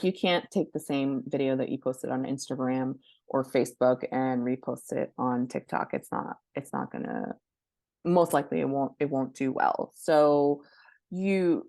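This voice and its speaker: A woman with a fairly deep voice gives informal expert advice in an interview. She explains in a conversational way, leaning on practical examples. The sound is dry, with little room around it. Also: a little mellow, no room sound. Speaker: guest expert, book publishing professional and influencer. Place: indoors by a window, at a laptop.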